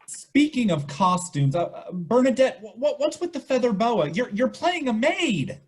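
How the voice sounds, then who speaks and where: Man as exasperated amateur stage director, high and animated, farcical web comedy. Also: normal speed. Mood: directing. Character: exasperated, excitable male amateur theater director.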